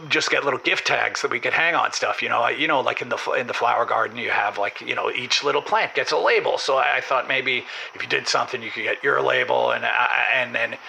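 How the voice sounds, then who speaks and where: A man playing a meek, aggrieved husband calling an advice show, halting and stammering over repeated words with a creaky voice. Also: medium pitch, pushed and bright, a little hiss or hum, exaggerated voice, poor audio. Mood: aggrieved, unsure. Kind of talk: improvised comedy call-in.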